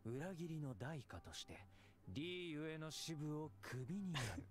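Guy doing a cocky young anime game commander, lightish voice, very animated, makes speeches. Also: a little high, brisk, close to an everyday voice. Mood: commanding. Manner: theatrical, speechifying. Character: confident young male military commander, breezy yet menacing. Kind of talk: Japanese video game voice acting.

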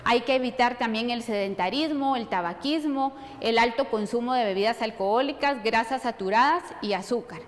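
A woman's voice: fairly high pitch, normal speed, few pauses. A middle-aged woman explaining things as a nutrition expert answering questions. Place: studio set. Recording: long hall reverb.

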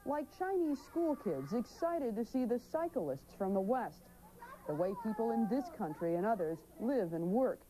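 Female field reporter, wry measured read, noisy ambience behind. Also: on the high side, very animated, dark tone. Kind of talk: news report narration.

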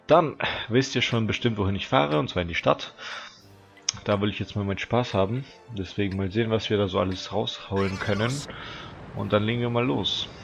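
A man's voice: lowish voice, creaky. A teenage boy with a stuffy nose from a cold, chatting casually as he comments on his own gameplay for viewers. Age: teens. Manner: chatty, relaxed, talks to viewers. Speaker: gaming channel host.

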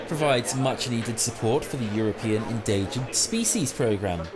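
A man's voice: medium pitch, normal speed, over music, rough recording. A man reading a scripted news voice-over in an English accent. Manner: lively read narration. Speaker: news reporter.

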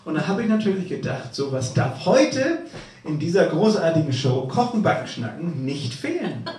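A man's voice: fairly high pitch, big pitch swings, normal speed, darkish tone, a little hiss or hum. A middle-aged man from Hamburg, a guest tribute singer, chatting casually. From Hamburg, Germany. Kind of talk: casual chat. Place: small home kitchen, indoors. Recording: echoey room, a little distant.

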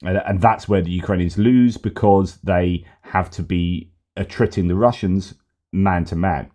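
A man's voice: low-pitched, steady tone, unhurried, mellow. A middle-aged man hosting a casual news commentary from a small home room over a headset, rambling with fillers and restarts, his voice slightly worn. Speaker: host.

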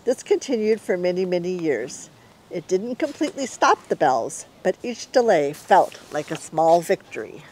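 Middle-aged woman, expressive storybook read-aloud. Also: fairly low pitch, moderate pace, low-quality recording. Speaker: author reading aloud.

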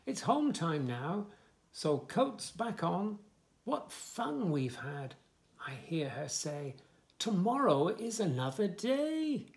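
A man in his fifties reading a picture book aloud to young children, with expressive, sing-song delivery and soft asides. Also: high voice, slow pace. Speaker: children's story reader, host. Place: small furnished room indoors, bookshelves.

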